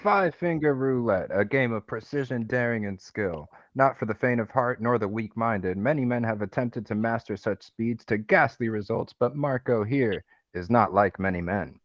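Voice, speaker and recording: A man's voice: mid-range voice, normal speed, few pauses, muffled recording, close to an everyday voice. A man playing a showy Old West gambling barker with grandiose patter.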